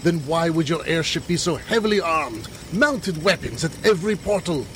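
A man's voice: on the high side, lively intonation, normal speed, a little hiss or hum, lightly acted. A man playing a grandiose, confident time-travelling inventor with precise, formal, theatrical speech in an old-time radio adventure. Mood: suspicious.

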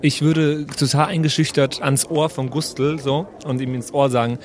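A man's voice: a little high, little pitch movement, moderate pace. A man talking casually as a player in an improvised tabletop roleplaying game.

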